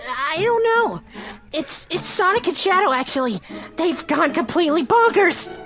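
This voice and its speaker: A man's voice: very high voice, big pitch swings, moderate pace, over music, heavily acted. A man voicing a panicked young cartoon fox boy, frantic and pleading, in a fan fiction reading. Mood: anxious. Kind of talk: fan fiction script read aloud.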